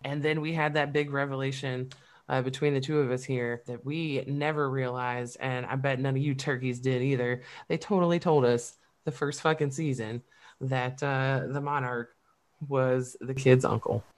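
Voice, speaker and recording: A woman's voice: rumbling low, fairly even intonation, moderate pace. Profane, laughing podcast co-host woman. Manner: profane, emphatic, laughs often. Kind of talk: casual chat.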